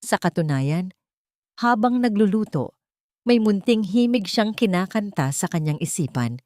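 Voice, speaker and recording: A woman's voice: medium pitch, lively intonation, measured pace, a little mellow, no echo, studio quality. A woman storyteller reading scripted, dramatic narration.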